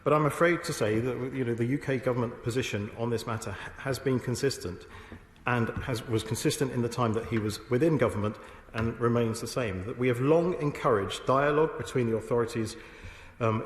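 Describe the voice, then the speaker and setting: A man speaking as a government minister in a parliamentary debate, measured and formal, often stumbling and restarting. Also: medium pitch, a little hiss or hum. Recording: echoey chamber broadcast feed.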